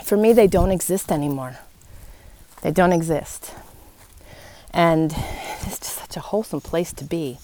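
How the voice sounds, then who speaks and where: A woman with a slightly low voice talks directly to her listeners as a podcast host. She is earnest and encouraging, setting out her thoughts in short, reflective phrases with pauses between them. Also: dark tone, a little hiss or hum. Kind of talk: personal, faith-based motivational talk to listeners. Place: outdoors in wind.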